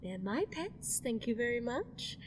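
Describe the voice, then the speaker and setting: A woman's voice: on the high side, big pitch swings, a little slow, a little hiss or hum. A woman playing a scheming, mocking villainous empress in an audio drama. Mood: taunting. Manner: taunting, sing-song, petulant. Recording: reverberant room, faint ambience.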